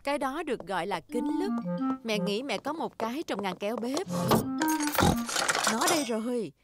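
A woman voicing a gentle, kindly mother pig in a children's cartoon, explaining things simply. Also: fairly high pitch, lively intonation, normal speed, lightly acted. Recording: music behind the voice.

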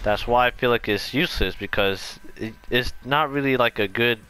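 A man's voice: medium pitch, normal speed, noisy background. A man giving a casual, unscripted gameplay explanation, rambling and correcting himself as he goes. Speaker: video game tutorial creator.

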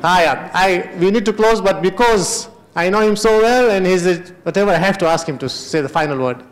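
A man's voice: high-pitched, fairly quick. A middle-aged man with a Kenyan Memon accent moderating a panel in a hotel conference hall, talking into a handheld mic and mixing Kutchi phrases into his English. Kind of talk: unscripted panel discussion.

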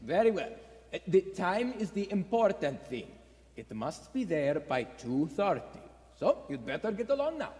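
A man acts a furtive, nervous husband in a staged radio drama. His high voice moves up and down a lot and keeps hesitating, ringing in a theatre hall. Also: sing-song, moderate pace, darkish tone. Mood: anxious, coaxing. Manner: hesitant, stammering. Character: shifty, nervous middle-aged husband hiding sabotage. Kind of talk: stage radio play acting.

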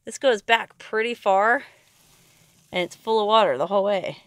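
A woman in her thirties, excitable and easily amazed, narrating as she explores outdoors and inside an old mine, talking into a handheld camera. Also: medium pitch, normal speed, dry recording.

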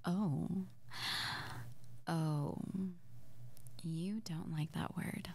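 Small flirty witch played by a woman, intimate roleplay audio. Lowish, creaky, breathy, stammering and awkward. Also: drawn-out words, warm tone, full character voice. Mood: playful, flustered. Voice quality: breathy, often dropping to near-whisper. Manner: hesitant, stammering, giggly.

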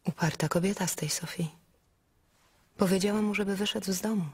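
An actress playing a brisk, speculating storyteller in a radio play, with a husky voice. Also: female voice, medium pitch, steady tone, a little mellow. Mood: brisk, speculative. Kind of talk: dramatic third-person narration.

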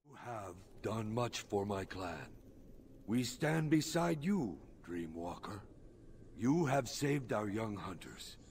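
A low-voiced, solemn alien warrior for a video game. He is a loyal ally who speaks in formal, clipped phrases, with faint noise behind the voice. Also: big pitch swings, slow, darkish tone, exaggerated voice. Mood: grateful, solemn. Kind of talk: video game character acting.

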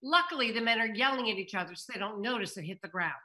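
Bright, high-voiced middle-aged woman. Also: lively intonation, rapid-fire. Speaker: table read narrator and host. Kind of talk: reading stage directions and addressing viewers. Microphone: video call.

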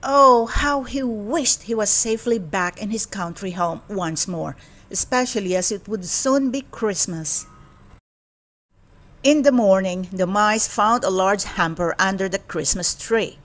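A woman storyteller reading a children's storybook aloud in a deliberate, expressive way. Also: medium pitch.

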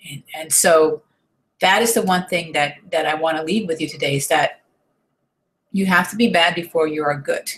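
Woman in her thirties, from the US, encouraging webcam pep talk, slightly low voice, home room. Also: normal speed, fairly bright tone, a little hiss or hum, poor audio. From United States. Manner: earnest, encouraging, with frequent restarts. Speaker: online marketing vlogger. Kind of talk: motivational talk to camera. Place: home room with desk and shelves, indoors. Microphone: webcam at desk.

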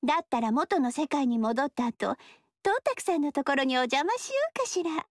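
A playful, cruel sorceress purrs her lines in a high, sweet voice. Her delivery swings in lively, teasing curves. The recording is dry and close. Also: brisk, no room sound. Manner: coquettish, teasing, sweetly menacing. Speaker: game character voice. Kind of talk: video game dialogue.

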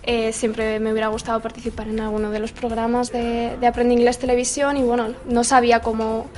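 A woman answering a casting question in a hesitant, halting way. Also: a little high, little pitch movement, a little mellow. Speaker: casting applicant. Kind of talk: casual interview answers.

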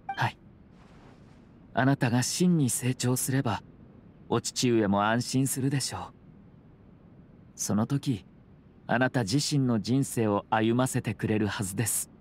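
A man's voice: mid-range voice, a little hiss or hum, close to an everyday voice. Composed, courteous young noble, played by a man. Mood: solemn, calm. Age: young adult. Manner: polite, measured, formal. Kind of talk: Japanese anime game voice acting. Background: music.

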